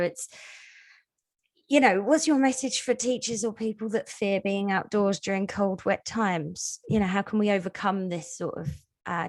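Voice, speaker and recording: A woman hosting an interview in a conversational way, talking through her questions at length. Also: mid-range voice. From born in the UK, lives in Canada. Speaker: interviewer and event host. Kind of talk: conversational interviewing at an online book launch.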